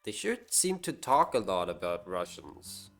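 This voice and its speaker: Male streamer, lowish voice, leisurely delivery, casual thinking-aloud game commentary over faint music. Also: big pitch swings, unhurried, vocal fry. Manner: talks to chat and muses aloud to himself.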